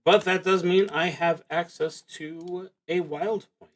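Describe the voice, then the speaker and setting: Slow-paced man musing through a solo game. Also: sits high, fairly even intonation. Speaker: streamer playing a solo roleplaying game. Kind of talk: casual livestream play, reading prompts and thinking aloud.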